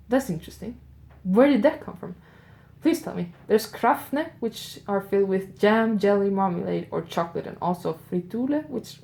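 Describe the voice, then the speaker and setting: A woman with a Swedish accent hosts a solo audio show in an easy, improvised way, thinking out loud and asking her listeners questions. Her voice is dark and mellow, with a gravelly fry running through it. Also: medium pitch. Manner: chatty, musing aloud with curious asides to listeners. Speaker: host of an audio advent show. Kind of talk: improvised solo radio-style talk.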